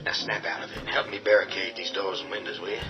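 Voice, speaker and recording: A man's voice: sits low, level tone, quick pace, highs cut off, over music, lightly acted. An actor playing a tough man barricading a house against the undead, talking urgently and giving orders. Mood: commanding. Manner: urgent, commanding, swings to shouting. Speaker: lead character. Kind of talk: radio drama acting.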